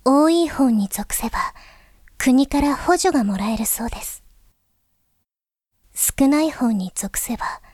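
A woman playing a gentle, thoughtful young princess, speaking formally, softly and earnestly in a Japanese voice drama monologue. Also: high voice, expressive, a little slow, long pauses, husky, dry recording, clean studio sound, full character voice. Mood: uneasy, troubled. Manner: formal, soft-spoken, earnest.

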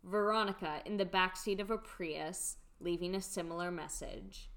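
Female narrator, lower register, reading screenplay scene directions for a radio drama. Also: moderate pace, fairly bright tone. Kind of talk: scripted narration of a radio play script.

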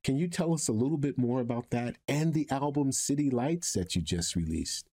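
A man hosting an upbeat conversational interview, effusive in manner, with a husky voice. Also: mid-range voice, lively intonation, normal speed, dark tone. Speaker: show host interviewing a guest.

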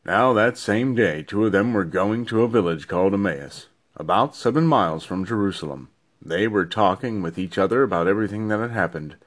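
Low-pitched man reading scripture. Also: expressive, brisk. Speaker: scripture reader. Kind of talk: narrated Bible reading.

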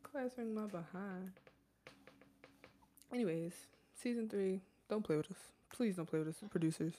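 Woman, podcast co-host, casual chat, animated, jokey, mid pitch. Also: moderate pace, a little hiss or hum. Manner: animated, jokey, laughs often.

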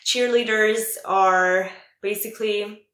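A woman's voice: mid-range voice, steady tone, slow, fairly bright tone, reverberant. A young woman from Italy with an Italian accent tinged American, answering interview questions casually with plenty of fillers. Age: young adult. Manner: chatty, many fillers like "um" and "like". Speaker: guest, former exchange student.

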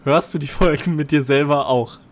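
A man's voice: on the high side, normal speed. A young man, co-hosting a podcast in casual chat. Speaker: podcast co-host.

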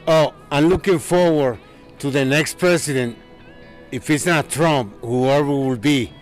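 A man's voice: on the high side, measured pace, faint music behind. A man of sixty-four answering a reporter's questions in casual talk. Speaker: voter being interviewed.

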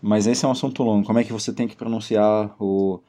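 Low, mellow-voiced podcast host. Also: male voice, fairly even intonation, fast. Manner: opinionated, steers the conversation with questions. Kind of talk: casual chat.

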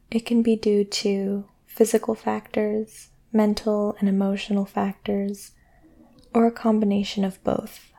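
A woman guiding a sleep meditation in a soothing, gentle way, speaking deliberately with long pauses. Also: mid-range voice. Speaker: meditation guide and podcast host. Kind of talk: guided sleep meditation, yoga nidra.

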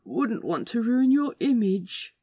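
A woman's voice: a little high, sing-song, takes it slowly, dark tone, slightly rough, full character voice. A woman voicing a playful, fussy man in an audio story, with a prim, mock-serious, teasing manner.

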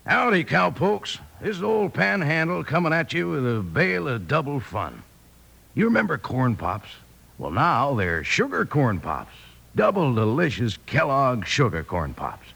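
Old cowboy pitchman, American, raspy, creaky, animated, folksy, vintage radio ad. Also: unhurried, full character voice. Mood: hearty. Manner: drawling, hearty sales patter. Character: folksy old cowpoke cereal pitchman, cheerful. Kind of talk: 1950s radio advertisement.

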